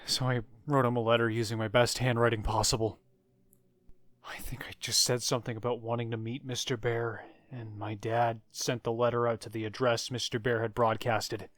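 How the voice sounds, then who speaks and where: A man narrating a scripted first-person horror story, with dramatic pauses and phrases that trail off quietly. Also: medium pitch, flat delivery, dry recording. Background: music.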